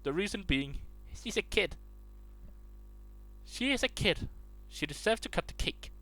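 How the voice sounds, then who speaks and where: A man's voice: high-pitched, animated, measured pace, sharp tone. A man reading online posts aloud in a casual way, stumbling and restarting words as he goes.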